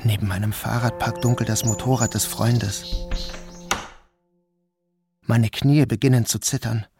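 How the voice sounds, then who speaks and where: A man narrating a literary audio drama in a calm, measured, introspective voice of medium pitch. Music plays clearly behind him.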